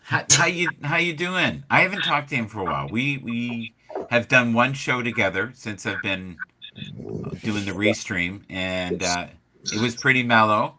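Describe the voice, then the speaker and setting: A man hosting a casual live chat show, speaking in halting starts with frequent restarts. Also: medium pitch, expressive, noisy background. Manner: halting, restarts, many fillers. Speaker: host.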